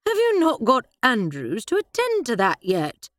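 An imperious upper-class English mother, as a woman puts her on, commanding and dismissive. She is pitched well above the narrator's voice and comes out louder and crisp, with the intonation swinging widely. The sound is dry and close-miked. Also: wildly swooping pitch, measured pace, no echo, exaggerated voice.

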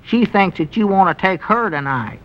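A high, folksy rural shopkeeper. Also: male voice, animated. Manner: slow folksy drawl, bumbling. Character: simple-minded rural old shopkeeper Abner. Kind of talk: 1940s radio comedy acting. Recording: dull old radio broadcast.

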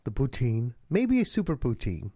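A chatty man co-hosting a sports podcast, his mid-range voice sitting close and dry on the mic. He laughs easily and his pitch leaps up when he gets excited. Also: moderate pace, no room sound. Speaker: podcast co-host. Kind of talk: casual sports chat.

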